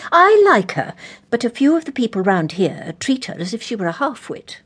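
An actress playing a forthright, eccentric English vicar's wife in an audio drama, emphatic, blunt and matter-of-fact. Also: female voice, on the high side, sing-song, normal speed, a bit bright, husky, full character voice. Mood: brisk, disapproving.

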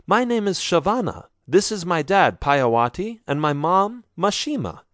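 Brief: high, eager young village girl, lively lilt, man doing it, dry close read. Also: normal speed, no room sound. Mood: cheerful. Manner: high and bright, excited questions.

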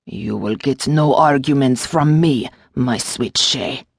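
A woman voicing a small, fretful male gargoyle demon in an audiobook, with a low, lilting French accent and wry, courtly phrasing. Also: takes it slowly, creaky, slightly rough, dry recording, studio quality, exaggerated voice. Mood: resigned. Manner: formal, courtly phrasing with endearments.

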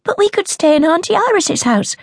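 A woman voicing an anxious, eager young girl who talks rapidly and insistently in a shrill voice. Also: lively intonation, no room sound, clean studio sound. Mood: pleading.

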